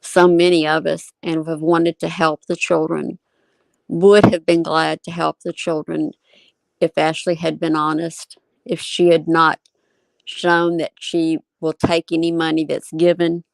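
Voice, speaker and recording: Woman, low pitch, flat intonation, earnest and measured, live discussion. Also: creaky, dry recording, poor audio.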